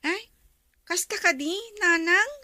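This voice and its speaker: Very high-pitched little schoolgirl. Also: slow, bright, forceful tone, dry recording, studio quality, full character voice. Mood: curious. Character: little schoolgirl daughter, curious and agreeable. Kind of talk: Ilocano radio drama acting.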